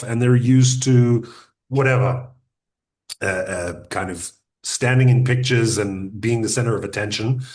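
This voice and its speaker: Man giving an unhurried workshop talk. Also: mid-range voice, darkish tone. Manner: deliberate, uses pauses and restarts; addresses audience directly with practical advice. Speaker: podcasting workshop speaker. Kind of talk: conversational teaching talk.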